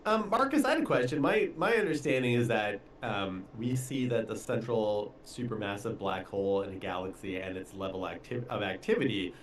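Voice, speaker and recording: A man with a fairly low voice co-hosts a casual live discussion. He phrases questions and explanations tentatively, feeling his way through them. There is faint noise in the background. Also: lively intonation, a little fast, few pauses. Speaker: co-host asking questions.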